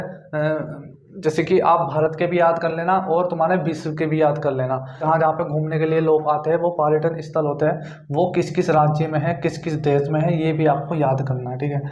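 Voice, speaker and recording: A man's voice: on the high side, a little mellow. A man with a North Indian accent giving an informal exam coaching lecture, repeating points and often adding check-in tags. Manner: repetitive, with frequent check-in tags. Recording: dull, echoey room recording.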